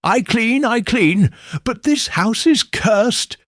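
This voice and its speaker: A man voicing an excitable immigrant housemaid who exclaims in broken English in a mystery audiobook. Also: very high voice, takes it slowly, dry recording, studio quality, voice pushed up, full character voice. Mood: alarmed, dramatic.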